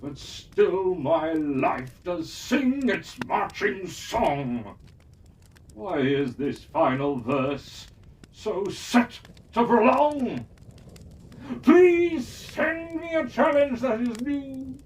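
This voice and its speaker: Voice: mid-range voice, very animated, extremely slow, pitched above the performer's own voice. A storyteller voicing an aging male elf champion, speaking in rhyming verse with a solemn, pleading tone. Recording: distant mic, faint hiss.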